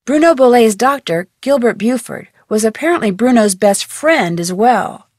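An actress voicing a curious, plucky young female detective in a video game, with a brisk, wry tone. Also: on the high side, lively intonation, no room sound, clean studio sound. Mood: inquisitive. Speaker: game character, teen detective.

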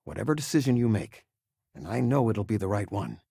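An actor playing an affable, proud older father, a retired teacher in a video game, speaking fondly with gentle wry humor. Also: lowish voice, big pitch swings, a little fast, gravelly, breathy edge, no echo, clean studio sound, exaggerated voice. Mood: warm, reassuring. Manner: fond, reassuring, gently joking. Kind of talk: video game character dialogue.

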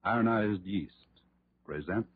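A man announcing in a slow, dramatic 1930s radio style. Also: lowish voice. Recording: tinny 1930s radio recording with music.

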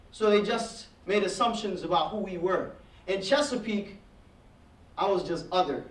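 Guy telling his life story at a heritage month talk, high voice, off mic, echoey room. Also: recorded from a distance. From Trinidad. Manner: reflective storytelling, gestures while speaking, rising intensity. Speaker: guest speaker. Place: classroom with projector screen. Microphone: podium mic, speaker standing away from it.

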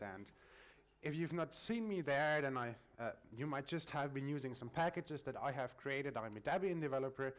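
German-born male conference speaker, friendly explainer, mid pitch, lectern mic. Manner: friendly, explanatory with filler sounds. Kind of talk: technical conference talk.

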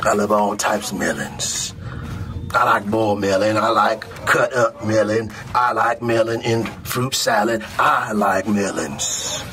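A middle-aged American man playing a stern, mocking army drill sergeant, barking intimidating, deliberate lines in a comedic roleplay. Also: lowish voice, close to an everyday voice. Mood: mocking, suspicious. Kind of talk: comedic military ASMR roleplay.

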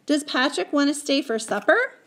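A woman voicing a practical, obliging mother in an audiobook, with a brisk manner. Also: sits high, expressive, a bit bright, a little hiss or hum, voice pushed up. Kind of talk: acted audiobook dialogue.

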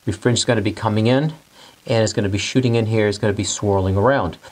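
A man's voice: lowish voice, fast talker, a little mellow. A man in his forties, an HVAC instructor, explaining a technical topic step by step at a whiteboard in a studio and repeating the key points. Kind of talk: whiteboard teaching lesson.

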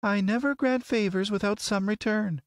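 Man putting on a very high, regal fairy lady Oz. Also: normal speed, no echo, clean studio sound. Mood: regal, sweet. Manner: regal, lofty. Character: lovely winged lady wizard, sweet yet haughty. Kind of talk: storybook character voice.

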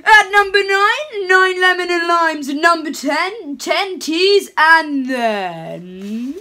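A young woman playing a jolly, chatty Father Christmas reading a storybook aloud at home, her voice pushed lower in a playful put-on. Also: expressive, takes it slowly, pushed and bright, full character voice. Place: home room with curtains.

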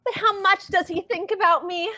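A woman's voice: very high-pitched, steady tone, normal speed, pushed and bright, a little hiss or hum. A woman voicing a lovestruck, melodramatic teenage girl duck in a comic parody dub, with gushing, dramatic delivery. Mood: lovesick pining. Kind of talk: live comic dub reading.

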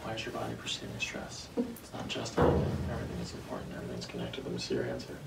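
A man's voice: rumbling low, big pitch swings, very fast, creaky. A middle-aged man working as a chiropractor, giving a patient short, soothing step-by-step instructions during hands-on treatment. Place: small treatment office, indoors. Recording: faint noise behind voice.

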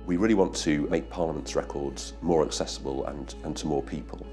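A man in his forties giving a measured, formal documentary interview about running an archive. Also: rumbling low. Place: wood-panelled office. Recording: faint music underneath.

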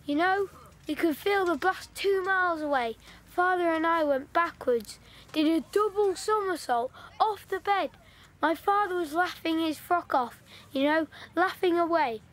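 A woman's voice: very high-pitched, measured pace, a bit bright. A woman playing a chatty, blunt wartime schoolchild in an audio play. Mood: excited. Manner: chirpy, blunt.

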